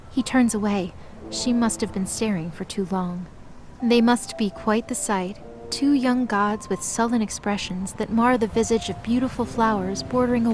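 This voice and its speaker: A woman reading scripted narration for a mythological audio drama. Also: mid-range voice. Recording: clearly noisy background.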